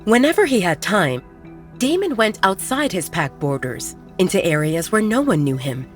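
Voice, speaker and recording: A woman's voice: mid-range voice, big pitch swings, moderate pace, soft background music. A woman narrating a werewolf romance audio drama in a scripted storytelling style.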